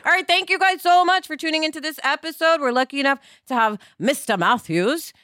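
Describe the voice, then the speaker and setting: A woman's voice: sits high, brisk, bright, forceful tone, no room sound, clean studio sound. A middle-aged woman co-hosting a podcast, conversational, opinionated and emphatic. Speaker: podcast co-host.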